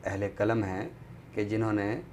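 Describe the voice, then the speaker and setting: Low-voiced Pakistani man, noisy recording. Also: darkish tone. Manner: deliberate, scholarly, with pauses mid-sentence. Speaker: literary show presenter. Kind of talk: book discussion in Urdu literary talk. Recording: noticeable background noise.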